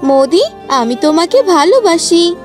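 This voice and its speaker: A woman's voice: extremely high pitch, very animated, a little slow, music in the background, exaggerated voice. A woman voicing a lovestruck, pleading village woman in a comic cartoon, in a melodramatic way.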